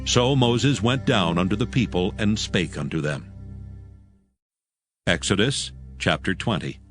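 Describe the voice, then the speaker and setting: A man narrating a dramatized scripture reading in a steady, measured storytelling manner. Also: fairly low pitch. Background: loud music.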